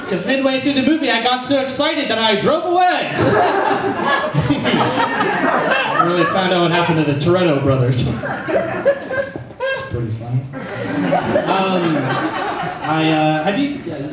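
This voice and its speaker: A young man from Southern California doing a stand-up set, excitable and shouty, often laughing at his own jokes. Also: fairly high pitch, very noisy. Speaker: stand-up comedian. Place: small comedy venue with live audience. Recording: echoey room from far off, loud crowd.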